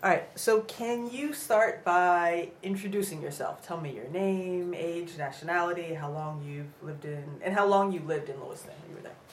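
A woman interviewer with a fairly low voice asks short, plain questions. Between them she keeps the talk going with soft murmurs. The sound is roomy and echoey, with faint ambience behind her. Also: moderate pace, few pauses, slight background noise. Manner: brief prompts and backchannel murmurs. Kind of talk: oral history interview.

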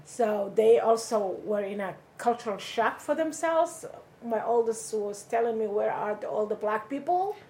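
A woman's voice: a little high, lively intonation, moderate pace, dark tone. A middle-aged woman from Somalia, interviewed for an oral history, telling her story in a conversational way that livens up when she quotes people.

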